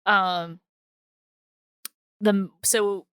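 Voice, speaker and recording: A woman's voice: medium pitch, steady tone, very slow, a bit bright, smooth, no echo. A woman hosting a podcast in casual chat, talking in a slangy, informal way. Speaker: podcast host.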